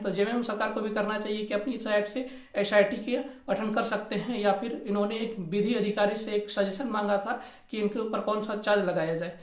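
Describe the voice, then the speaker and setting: A man presenting an informal news explainer, repeating points and mixing English terms into his Hindi. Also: sits high. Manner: repetitive, mixes in English terms. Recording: echoey room recording.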